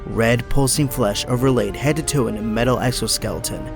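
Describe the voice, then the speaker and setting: A man's voice: medium pitch, fairly quick, music in the background. A man narrating an audio drama in a scripted, dramatic storytelling style.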